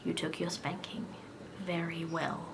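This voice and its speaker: Calm caring doctor, read by a woman, soft low voice, gravelly, soothing, faint hiss. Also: a little slow. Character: gentle, caring grown man, a doctor.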